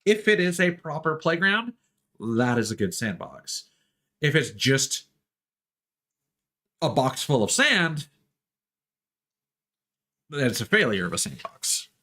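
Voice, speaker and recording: A man's voice: on the high side, big pitch swings, slow pace, long pauses, bright, forceful tone. A man livestreaming a video game with casual, rambling, sweary commentary.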